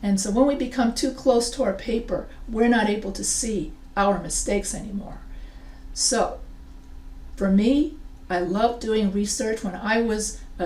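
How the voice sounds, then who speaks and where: Middle-aged woman prof talking off the cuff to her webcam, roomy echo, a little far from the mic. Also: fairly high pitch. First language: not French. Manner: animated, gestures a lot while explaining. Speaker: English composition professor. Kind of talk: unscripted lesson to camera. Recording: echoey room, a bit far off.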